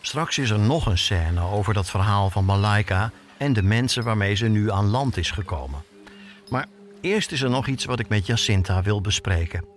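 A man's voice: on the low side, moderate pace, slight background noise. A man hosting a podcast, narrating from a script in a reflective, personal way. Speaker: podcast host, reporter.

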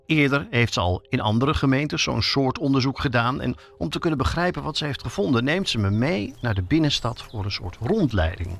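A man's voice: medium pitch, lively intonation, brisk, creaky, hardly any pauses, fairly bright tone. A man hosting a podcast as a journalist, reading scripted narration that explains things directly to listeners.

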